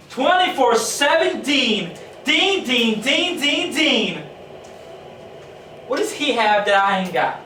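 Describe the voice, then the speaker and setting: A man's voice: very high-pitched, sharp tone, full character voice. A man acting a bitter, jealous employee on stage, ranting with sarcastic, theatrical delivery. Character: bitter, jealous male employee snapping at his colleagues. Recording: echoey theatre recorded from far off, noise.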